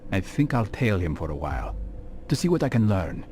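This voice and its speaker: An actor playing a sober, earnest male assassin in a video game, speaking in a measured, formal way. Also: fairly low pitch, big pitch swings, darkish tone, slight background noise. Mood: businesslike. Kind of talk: dramatic video game acting.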